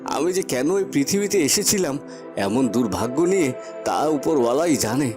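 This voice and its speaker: A man's voice: mid-range voice, big pitch swings, normal speed, close to an everyday voice. A man playing a poor, hardworking young farmer in a cartoon fairy tale, earnest and plaintive, over music. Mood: sorrowful. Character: poor hardworking young village farmer, dutiful, sorrowful son.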